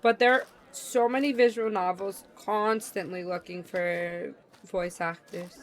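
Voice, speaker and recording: A young woman streaming a visual novel, chatting casually in an expressive, slangy way. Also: mid-range voice, measured pace. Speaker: game streamer. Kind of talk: casual chat while reading a visual novel.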